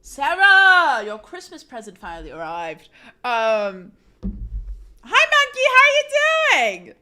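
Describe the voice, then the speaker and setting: A woman's voice: high-pitched, wildly swooping pitch, slow, bright, forceful tone. A woman livestreamer chatting casually with her audience, chatty and self-deprecating, often laughing and reading chat aloud.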